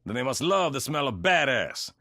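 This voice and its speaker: An actor playing a tough, wry, fatherly sergeant major in a game cutscene, gruff and gravelly, barking with swagger. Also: mid-range voice, big pitch swings, normal speed, bright, forceful tone, no echo, clean studio sound. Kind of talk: video game cutscene acting.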